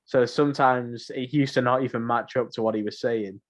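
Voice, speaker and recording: A man's voice: mid-range voice, fairly even intonation, moderate pace. A man co-hosting a podcast, chatting casually and informally, with opinions of his own. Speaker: podcast co-host. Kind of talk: casual podcast chat about films and games.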